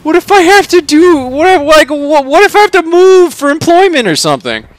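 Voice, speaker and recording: A man's voice: mid-range voice, unhurried, fairly bright tone, natural voice. A man voicing an exasperated, sarcastic man in a short story, incredulous and emphatic as he argues with a bureaucracy. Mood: incredulous, outraged. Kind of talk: short story character read aloud.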